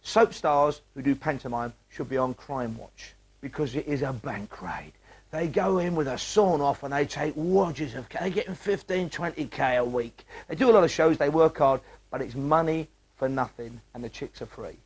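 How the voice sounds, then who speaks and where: Higher-voiced, wry British man. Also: moderate pace, a little mellow, husky. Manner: wry, exaggerating. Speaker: talking-head interviewee. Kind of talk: humorous talking-head commentary. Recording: VHS transfer with faint music.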